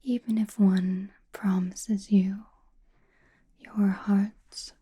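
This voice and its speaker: A woman playing an enigmatic, knowing sorceress in an ASMR roleplay, in a soft breathy whisper, speaking slowly and cryptically straight to the listener. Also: medium pitch, flat delivery, full character voice. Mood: cautioning. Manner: slow, deliberate, cryptic, addressing listener intimately.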